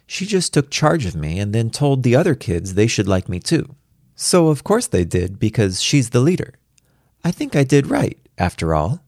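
A man's voice: medium pitch, wildly swooping pitch, moderate pace, a little mellow, no room sound, clean studio sound, pitched above the performer's own voice. A man voicing a precocious four-year-old girl in a storybook reading, matter-of-fact, patient and superior. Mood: confiding, assured.

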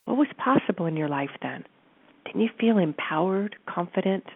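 A woman with a stuffy nose, calmly guiding listeners through an exercise from her office and speaking directly to them. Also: lowish voice, normal speed, vocal fry, slightly rough. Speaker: host and coach. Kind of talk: guided teaching talk. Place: home office. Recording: narrow phone-like line.

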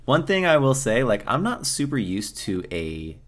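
A young man hosting a podcast in casual chat, with a booming voice and frequent laughter. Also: sing-song, moderate pace. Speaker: podcast host.

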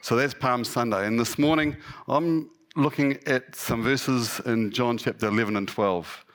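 A man with a New Zealand accent and a slightly husky voice, giving an informal, lightly humorous, self-deprecating sermon to a congregation as a lay guest preacher. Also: medium pitch, unhurried, fairly bright tone.

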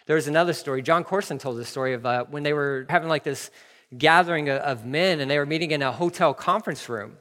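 A man preaching a sermon as pastor, telling stories in a lively, conversational way. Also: a little high. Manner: storytelling, animated and conversational. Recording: long hall reverb.

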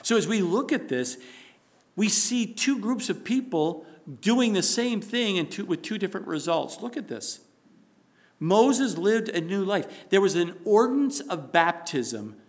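A man preaching a sermon, building to loud emphatic stretches and dropping to a soft prayerful tone. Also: high-pitched, lively intonation, a little slow.

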